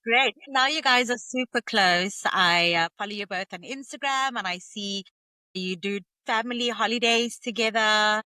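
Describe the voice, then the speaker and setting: A woman's voice: mid-range voice, normal speed, bright, forceful tone, no room sound. A woman hosting a podcast interview, asking upbeat, friendly questions. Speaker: podcast host.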